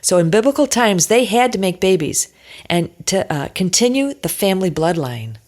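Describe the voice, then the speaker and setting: A woman's voice: medium pitch, sing-song, moderate pace, a little mellow. A woman giving an informal religious teaching talk, emphatic and insistent, repeating her points and posing rhetorical questions.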